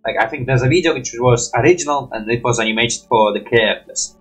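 A man's voice: medium pitch, quick pace, breathy edge, faint music behind, low-quality recording. A young man reacting casually to animations in rambling, hesitant, non-native English, with habitual mild swearing. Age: young adult. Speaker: reaction video host. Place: small room at home.

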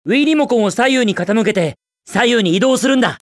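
A man's voice: very high-pitched, animated, a little fast. A man voicing a cocky, carefree young hedgehog speedster hero in a video game, with a cool, brash, quippy delivery. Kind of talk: Japanese video game character voice lines.

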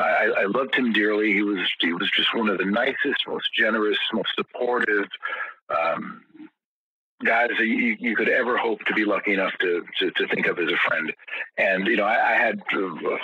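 Older male guest, husky forceful voice, rambling storyteller, dry lo-fi audio. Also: on the low side, dry recording. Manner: rambling, many restarts and repeated words. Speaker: guest musician. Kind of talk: casual interview storytelling.

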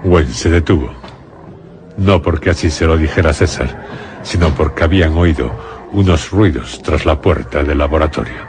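A man's voice: extremely low pitch, normal speed, noisy background. A man narrating a scripted suspense radio play in a solemn storytelling manner. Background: prominent dramatic music.

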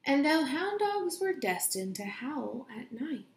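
A young woman reading a children's picture book aloud in a deliberate, expressive storytelling way, recorded in a room at home. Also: high-pitched. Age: young adult. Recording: roomy home echo.

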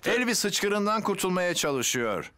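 A man voicing a calm, kindly, responsible fire officer in a children's cartoon, reassuring and instructive. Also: sits high, takes it slowly, exaggerated voice. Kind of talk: animated children's cartoon dub.

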